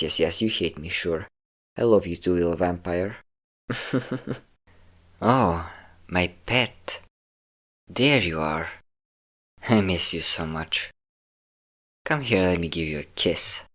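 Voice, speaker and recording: A man playing a possessive, domineering vampire lover, teasing and commanding the listener in an intimate audio roleplay. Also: low-pitched. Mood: fond, teasing. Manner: teasing, commanding, breathy whispers at times.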